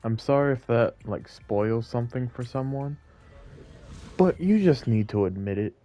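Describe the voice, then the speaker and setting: A man's voice: mid-range voice, animated, normal speed, dark tone, faint music behind. A man giving casual live commentary as he streams a game.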